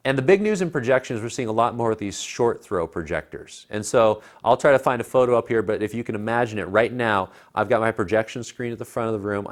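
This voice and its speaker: American guy in his 30s, lowish voice, chatting and explaining gadgets. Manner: conversational, explanatory. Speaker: tech show co-host reviewing home theater gear. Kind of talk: casual tech commentary. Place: living room at home, indoors. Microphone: lapel mic.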